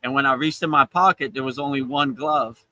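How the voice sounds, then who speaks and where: A man's voice: mid-range voice, fairly even intonation, fairly quick, pushed and bright, no echo. A man from Miami, Florida, hosting a live spiritual talk and telling stories in a chatty way, dropping in "you know" often and laughing between points. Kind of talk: casual spiritual storytelling. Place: outdoors in Thailand.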